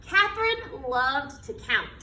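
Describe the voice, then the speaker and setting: An American woman reading a picture book aloud to children like a teacher, with expressive, emphatic delivery and questions to her listeners. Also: high-pitched, takes it slowly. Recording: echoey room, distant mic, background noise.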